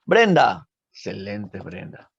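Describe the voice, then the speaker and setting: Man teaching literature, husky gravelly voice, dry dull lo-fi audio. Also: on the low side, slow, no echo. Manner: lively, asks rhetorical questions, frequent tag "¿no?". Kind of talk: online literature class.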